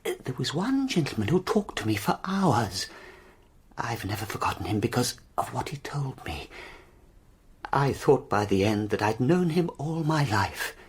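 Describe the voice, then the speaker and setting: Husky, broken, stammering veteran. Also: male voice, medium pitch, very animated, unhurried, heavily acted. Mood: haunted, hushed. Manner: hesitant, stammering, plaintive. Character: broken, nervous war veteran, down on his luck. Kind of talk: radio play acting.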